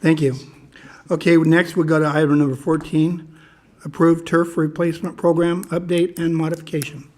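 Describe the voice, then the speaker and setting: A man, the mayor, chairing a city council meeting in a brisk, procedural way. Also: on the high side, level tone, darkish tone. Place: council chamber.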